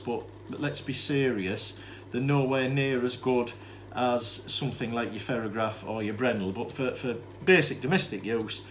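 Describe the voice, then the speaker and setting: Man explaining gear over background noise. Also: medium pitch. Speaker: presenter demonstrating vintage tape recorders. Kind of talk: informal unscripted demonstration, explaining equipment. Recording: clear steady background noise.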